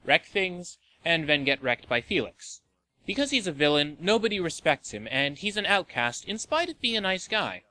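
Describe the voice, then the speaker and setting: A man's voice: a little high, very animated, moderate pace, pushed and bright, no room sound, low-quality recording. A man hosting a video game and movie show, reading scripted list narration with a wry, tongue-in-cheek manner.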